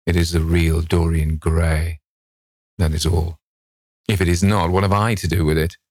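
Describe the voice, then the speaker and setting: Man playing a breezy, husky aristocratic gentleman. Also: sits low, level tone, normal speed, dry recording, studio quality. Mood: cool, dismissive. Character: breezy, witty, self-assured aristocratic gentleman.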